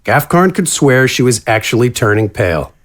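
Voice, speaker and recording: A man's voice: medium pitch, sing-song, moderate pace, a bit bright, a little hiss or hum. A man reading scripted narration for a fantasy story.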